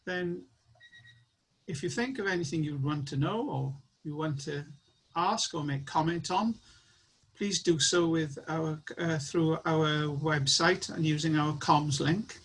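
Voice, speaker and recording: A man's voice: on the high side, a little slow, a bit bright, husky, rough recording. A man with a slightly raised voice chairs an online annual meeting. He speaks in an earnest, encouraging way as he wraps up. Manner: earnest, rallying closing remarks.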